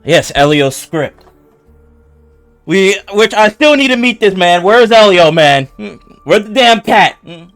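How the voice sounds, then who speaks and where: A man streaming a video game, giving casual, slangy and expressive reactions. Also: high-pitched, a little slow. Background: game music.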